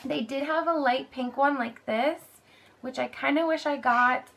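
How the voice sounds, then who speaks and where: Chatty young woman, a bit hoarse. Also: high-pitched, a little slow, a bit bright, a little hiss or hum. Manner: chatty, rambling, lots of "like" and "um". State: slightly hoarse, losing her voice. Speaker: vlogger. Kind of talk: casual haul vlog chat. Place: bedroom, indoors.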